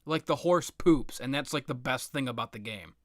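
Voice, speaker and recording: A man's voice: mid-range voice, lively intonation, normal speed, no room sound, clean studio sound. A man giving an unscripted, opinionated rant about video games in a blunt, exasperated, sweary manner. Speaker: video game commentator.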